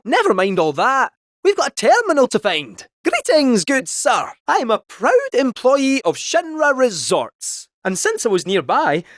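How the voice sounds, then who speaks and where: A man's voice: sits high, dry recording. A jaunty male robot cat with a broad Scottish accent, rattling off folksy quips in a raised, sing-song voice that leaps up and down. He sounds cheeky and sure of himself, like a game sidekick. The sound is dry and close, with no room around it. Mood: cheery resolve. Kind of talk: video game voice acting.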